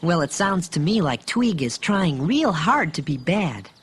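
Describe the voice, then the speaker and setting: An actor playing a gentle, earnest toy bear who narrates a children's audio storybook. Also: male voice, a little high, very animated, unhurried, noisy background.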